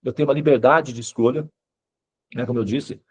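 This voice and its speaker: A man's voice: medium pitch, steady tone, fairly quick, darkish tone, breathy edge. A Brazilian man speaking Brazilian Portuguese as a spiritual teacher, explaining in an informal lecture style and often checking in with short tag questions.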